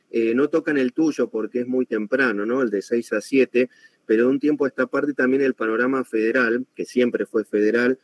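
A man from Argentina hosting a radio talk show, brisk and friendly, thanking guests and reading the time. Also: medium pitch, no room sound. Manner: brisk, friendly, speeds up in asides. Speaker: radio host.

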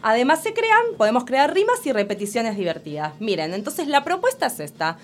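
Woman teacher, Rioplatense accent, very animated pitch, playful and coaxing with kids. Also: a little fast. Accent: Rioplatense Spanish. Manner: lively, laughs often, coaxing children. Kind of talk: playful educational broadcast chat.

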